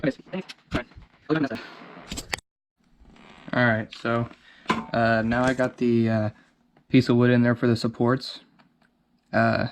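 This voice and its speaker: A man's voice: mid-range voice, flat delivery, takes it slowly, long pauses. A man hosting a casual DIY video, narrating informally and thinking aloud as he works with his hands. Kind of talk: casual how-to narration while working. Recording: clear background noise with handling clicks.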